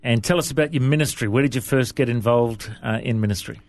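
A man's voice: mid-range voice, quick pace, a little mellow. Upbeat male TV interview host. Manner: upbeat, friendly, laughs easily. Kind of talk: studio interview. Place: television studio.

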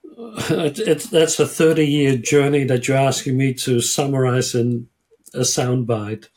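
A man of about sixty from the Netherlands, a guest answering interview questions in a measured, earnest way and pausing to find his words. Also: mid-range voice, a little mellow. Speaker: guest, ministry worker and lecturer. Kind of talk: interview answers, personal storytelling.

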